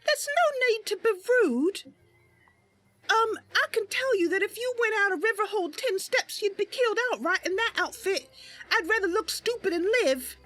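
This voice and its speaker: A woman's voice: extremely high pitch, lively intonation, moderate pace, fairly bright tone, dry recording, soft background music, voice pushed up. A woman voicing a naive, eager young female wood elf adventurer in a chirpy, hesitant, earnest manner. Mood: indignant.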